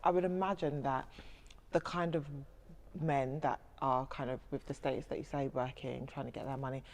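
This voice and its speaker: A woman's voice: a bass voice, steady tone, normal speed, darkish tone. Inquisitive woman from London. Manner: inquisitive, challenging. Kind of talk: casual panel discussion.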